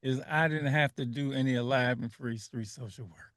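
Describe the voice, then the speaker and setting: A man from Liberia hosting a community radio talk show, telling stories with lots of laughter and animated bursts. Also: mid-range voice, moderate pace, no room sound, clean studio sound. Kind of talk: casual radio chat.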